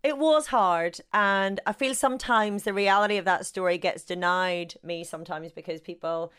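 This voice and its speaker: A woman's voice: medium pitch, normal speed, a bit bright, smooth. A woman with a Northern Irish accent, a sports journalist talking as an interview guest in a gregarious, self-deprecating way. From Northern Ireland. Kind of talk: casual interview chat.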